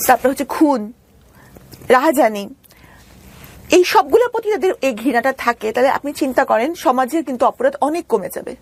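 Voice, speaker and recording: A Bangladeshi woman telling her own story as an interview guest, earnest and explanatory. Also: sits high.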